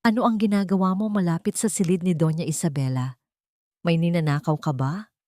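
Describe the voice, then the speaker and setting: A woman narrator voicing a spiteful, suspicious young rich woman in an audiobook drama, with a sharp, accusing delivery. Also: lowish voice, steady tone, brisk, no room sound, natural voice. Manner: accusing, sharp, loudly declaiming.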